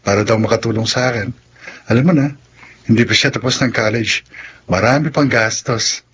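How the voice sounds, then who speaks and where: A man's voice: medium pitch, normal speed, a bit bright, breathy edge. A man acting a friendly, caring older brother with a Filipino accent in a radio drama. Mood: glad, earnest.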